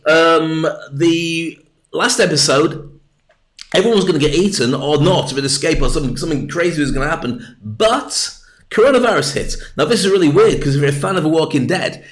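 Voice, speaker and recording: A British man hosting a livestream, talking in a chatty, jokey, rambling way into a webcam mic at his desk. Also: on the high side. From Britain. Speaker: livestream host. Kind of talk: rambling casual monologue.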